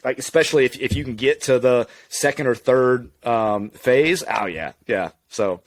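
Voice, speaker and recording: A man from Louisiana, likely in his thirties, talking easily as a podcast guest. He's relaxed and candid, laughing often, at a middle pitch. The sound is dry and close. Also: moderate pace, dry recording. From Louisiana, USA. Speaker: physical therapist guest. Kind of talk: casual conversational interview.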